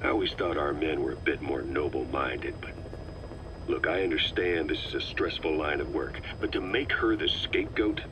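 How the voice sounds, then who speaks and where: An actor playing a calm, calculating middle-aged military officer in a video game, speaking with measured, persuasive assurance. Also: male voice, a bass voice, background noise. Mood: firm, challenging. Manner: measured, assured, reasoning patiently. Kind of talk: dramatic game voice acting.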